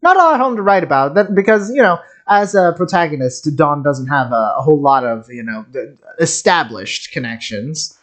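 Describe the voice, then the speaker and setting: A streamer of middling pitch talks through game content off the cuff. The delivery is wry and geeky, wandering as thoughts arrive. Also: animated, moderate pace, few pauses. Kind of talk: casual stream commentary on game voice lines.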